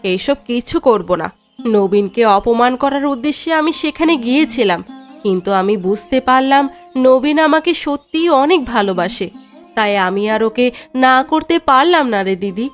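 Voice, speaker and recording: A woman doing a high-voiced young cartoon sister. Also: lively intonation, normal speed, faint music behind, close to an everyday voice. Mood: earnest, defiant. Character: outspoken young village sister. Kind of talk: Bengali fairy-tale cartoon dialogue.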